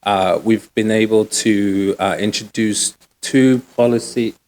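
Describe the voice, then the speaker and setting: Man, thirties, low-pitched, relaxed, slangy, lots of um and like, interview guest. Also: slow pace, slight background noise, rough recording. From Uganda, raised in the UK. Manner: relaxed, slangy, many fillers. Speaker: guest, nonprofit co-founder. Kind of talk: casual interview talk.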